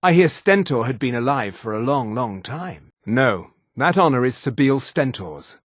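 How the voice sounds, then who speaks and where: An actor voicing a haughty, sneering high elf official in a fantasy video game, speaking in a pompous, condescending, precise manner. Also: male voice, expressive, unhurried, dry recording, clean studio sound. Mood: sly, aloof. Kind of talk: scripted fantasy game dialogue.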